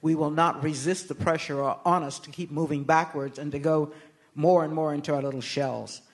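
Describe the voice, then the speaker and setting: An older American woman answering interview questions as a guest, speaking in a measured, emphatic, oratorical way. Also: low voice, fairly even intonation. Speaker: interview guest, political activist. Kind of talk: live on-stage interview answers.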